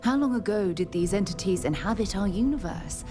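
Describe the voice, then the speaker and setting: A woman's voice: mid-range voice, animated, brisk, close to an everyday voice. An actress playing an earnest, articulate woman scientist in a space adventure video game. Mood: awed, exhilarated. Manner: articulate, thoughtful. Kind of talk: video game dialogue acting. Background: game music.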